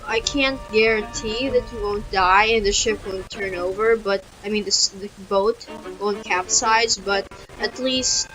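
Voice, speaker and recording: A woman, casually talking through a story game and reading its text aloud, thinking out loud with frequent restarts. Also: medium pitch, unhurried, low-quality recording. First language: Filipino. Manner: chatty, talks through her choices with restarts. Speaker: gaming YouTuber. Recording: loud game music behind the voice.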